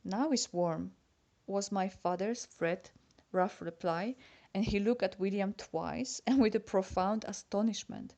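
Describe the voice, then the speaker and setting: A woman's voice: medium pitch, measured pace, mellow. A Polish-born woman whose first language is Polish, reading her own personal letter aloud in English with a Polish accent, chatty and confiding as if talking to a friend. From Poland. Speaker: letter writer.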